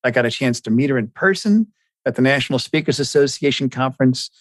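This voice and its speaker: Guy hosting a podcast, mid pitch voice, pretty enthusiastic and gushy, dry recording. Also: animated, fast, dry recording. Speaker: podcast host. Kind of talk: podcast introduction and interview.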